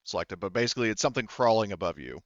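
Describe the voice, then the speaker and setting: A man running a tabletop roleplaying game as game master, explaining things in a halting way and often correcting himself. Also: on the low side, animated, no echo, lo-fi sound.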